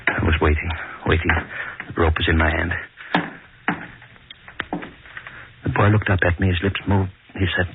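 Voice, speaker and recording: A man's voice: deep voice, steady tone, fast, creaky. An actor playing a callous, guilt-crazed hangman in a melodramatic 1940s radio horror style, repeating his phrases obsessively. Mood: grim relish. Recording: noisy, tinny 1940s radio recording.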